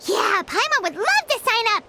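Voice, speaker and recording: A woman voicing an excitable tiny female floating companion who talks about herself in the third person, with a high squeaky childlike voice in game audio with background music. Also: moderate pace, heavy background noise. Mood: excited. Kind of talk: game voice acting.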